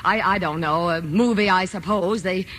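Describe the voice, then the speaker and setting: An actress playing an anxious, imperious, bedridden wealthy wife in an overwrought 1940s radio drama manner. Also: female voice, lowish voice, moderate pace, fairly bright tone, natural voice. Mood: agitated. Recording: hissy vintage radio broadcast.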